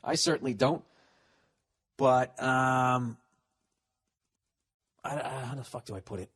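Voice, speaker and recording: A man's voice: medium pitch, slow, fairly bright tone, breathy edge. A man in his forties in a solo comedic podcast rant, profane and sarcastic. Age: 40s. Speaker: podcast host.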